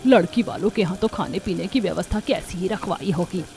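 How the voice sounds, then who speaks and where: A woman's voice: on the low side, fairly quick, darkish tone, a little hiss or hum, natural voice. A woman voicing a greedy, bossy older mother-in-law in a cartoon story, demanding and nagging with lip-smacking relish. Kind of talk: Hindi cartoon story dialogue.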